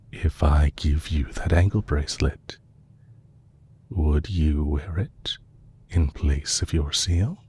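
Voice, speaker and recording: A man playing a composed, courteous villain leader who is possessive and lovestruck, speaking formally and deliberately in an intimate romantic audio roleplay. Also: rumbling low, a little mellow, a little hiss or hum. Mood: measured, tender. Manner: formal, deliberate, courtly phrasing with long pauses.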